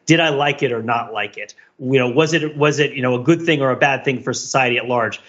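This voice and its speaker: A man's voice: medium pitch, a little fast, fairly bright tone, muffled recording. A man talking as a podcast panelist in an emphatic, rambling, philosophical way, giving casual reflective commentary.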